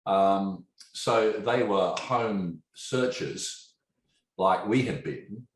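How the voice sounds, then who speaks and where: A man in his fifties with an English accent softened by years in Australia, a tech entrepreneur telling his story as a podcast guest and quizzing his host. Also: deep voice, extremely slow. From England. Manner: animated storyteller, poses questions to his listener. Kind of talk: conversational podcast interview. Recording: roomy echo.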